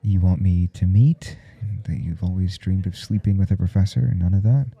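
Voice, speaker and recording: A man playing a blunt, intimate professor in an ASMR audio roleplay, speaking in a halting, confiding way with a teasing, domineering edge. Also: fairly even intonation, dull audio, heavily acted. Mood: earnest, vulnerable. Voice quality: low, gravelly, soft and dark. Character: adult male professor, intimate, callous, earnest, teasingly domineering.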